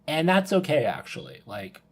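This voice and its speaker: Husky man, halting casual podcast talk. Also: on the high side, sing-song. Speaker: podcast panelist.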